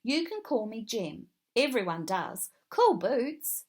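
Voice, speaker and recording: A woman voicing a friendly, upbeat boy on a soccer team in a storybook, lively and exclamatory. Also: fairly high pitch, slow.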